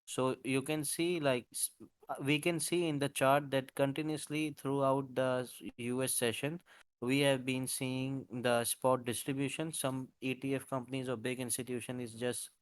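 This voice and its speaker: A man's voice: medium pitch, little pitch movement, normal speed, smooth, no echo. A man casually explaining market charts on a live call, talking hesitantly with many fillers and restarts. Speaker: crypto market analyst presenting charts. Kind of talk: live-streamed chart walkthrough.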